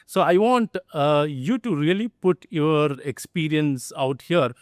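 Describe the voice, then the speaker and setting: A middle-aged man hosts a business chat, earnest and full of little "uh" pauses. His voice runs a touch high. It is recorded dry, with no echo. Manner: earnest, with frequent "uh" fillers and "really". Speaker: podcast host, company CEO. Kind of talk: interview hosting, introducing guests.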